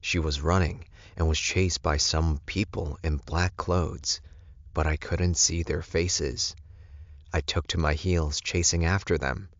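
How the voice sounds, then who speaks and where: A man's voice: a bass voice, steady tone, unhurried, fairly bright tone, dry recording, dull audio. A man reading scripted first-person fiction as an audiobook narrator.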